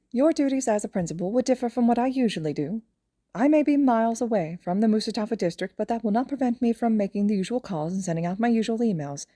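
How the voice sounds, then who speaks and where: A woman's voice: fairly high pitch, mellow, no echo, studio quality, pitched above the performer's own voice. A woman voicing a small male animal principal, a cunning genius who speaks in a formal, wordy, cheerfully smug way in an audio story. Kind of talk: audio fan fiction character voice.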